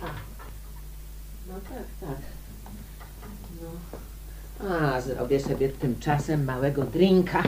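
An actress playing a polite woman newly moved in, in a radio drama, unhurried and often repeating words as if thinking aloud. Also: sits low, background noise. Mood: puzzled.

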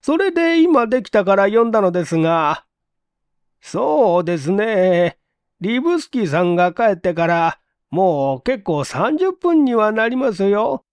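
A man voicing a talkative, matter-of-fact married landlady with brisk, chatty speech in a story reading. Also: high voice, big pitch swings, clear, resonant tone, no room sound, pitched above the performer's own voice, heavily acted. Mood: puzzled, explaining.

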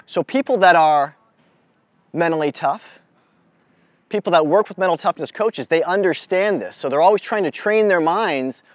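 A man speaking as a mental toughness coach, giving a deliberate, instructive motivational lesson to a group out in the open. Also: sits high, no room sound. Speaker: mental toughness coach leading a youth clinic. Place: outdoors on an amphitheater lawn.

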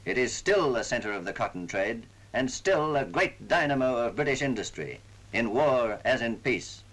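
Guy doing old 1940s war newsreel voiceover, formal and solemn, medium pitch, vintage film sound. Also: animated, normal speed, fairly bright tone, poor audio. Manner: solemn, formal, oratorical phrasing. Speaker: newsreel narrator. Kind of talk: scripted wartime propaganda film narration.

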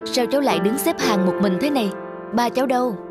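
A woman's voice: a little high, fast, loud music behind the voice, close to an everyday voice. Woman voicing a kind, reassuring man. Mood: concerned. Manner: reassuring, gentle. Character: kind, caring man helping a disaster orphan. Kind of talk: animated moral tale acting.

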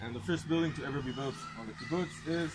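A man's voice: mid-range voice, quick pace. A young man, a tour guide explaining to a seated group on a park lawn and asking them questions. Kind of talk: outdoor guided tour talk. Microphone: handheld camera held close by the filmer. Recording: outdoor noise, birds and passing vehicles.

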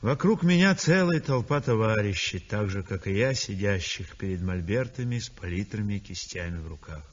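An actor playing a serious, thoughtful young art student, narrating deliberately in a 1960s radio drama. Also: male voice, fairly low pitch, animated. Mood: sober, reflective. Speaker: actor narrating in first person. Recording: dull vintage 1960s radio recording.

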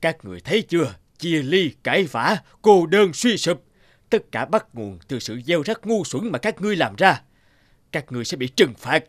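A man's voice: on the high side, big pitch swings, normal speed, no room sound, clean studio sound. A man voicing a stern old cartoon god with a grand, admonishing manner. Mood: angry. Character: stern old bearded supreme god, commanding, scolding. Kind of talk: animated fable dialogue.

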